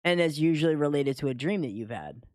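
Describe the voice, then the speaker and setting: Guy co-host, slightly high voice, casual chat, dry close sound. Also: expressive, quick pace, darkish tone, no echo.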